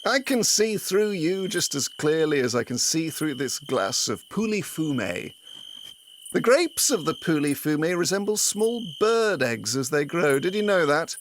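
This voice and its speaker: An actor playing a bitter, boastful retired detective inspector in a comic audio drama, with pompous, world-weary speechifying. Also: male voice, a little high, very animated, a little slow, slight background noise. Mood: grandiose, brooding.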